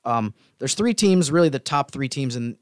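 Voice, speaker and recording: A man's voice: medium pitch, animated, moderate pace, dry recording. Man co-hosting sports talk, close dry sound. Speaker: sports podcast co-host.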